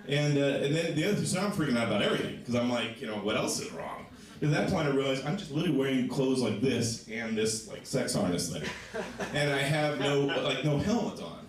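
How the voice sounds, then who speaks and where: A man with a low voice doing a stand-up comedy set in a small comedy club, telling stories with lively, animated delivery. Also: fairly quick, slight background noise. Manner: animated storytelling, voices for others. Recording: echoey club sound from a distant mic.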